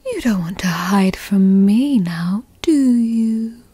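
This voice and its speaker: A woman's voice: medium pitch, lively intonation, mellow, no room sound. Whispering possessive girlfriend, acted by a woman. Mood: coaxing, teasing. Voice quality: breathy, mostly whispered. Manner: slow, coaxing, hesitant, formal wording. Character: possessive, doting yandere girlfriend, sweetly controlling and coy. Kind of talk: whispered ASMR roleplay. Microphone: close microphone, dry sound.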